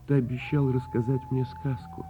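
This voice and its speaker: A man's voice: mid-range voice, little pitch movement, moderate pace, dark tone, soft background music, pitched above the performer's own voice, close to an everyday voice. A man playing a small, wistful child eagerly pleading for a bedtime story in a radio play.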